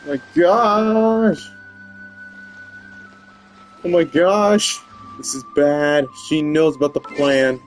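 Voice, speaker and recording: A man's voice: fairly high pitch, steady tone, very slow, long pauses. A man reacting casually and dramatically while commentating a video game playthrough. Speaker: gamer commentator. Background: game music.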